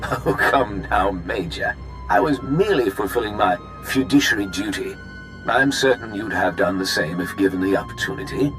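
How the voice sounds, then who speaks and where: Man playing glib sci-fi game executive, self-serving, lowish pitch, very animated intonation, formal wordy phrasing, music underneath. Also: moderate pace, lightly acted, poor audio. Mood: glib, smug. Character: smooth, self-serving male corporate research executive in science fiction. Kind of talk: video game cutscene acting. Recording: music behind the voice.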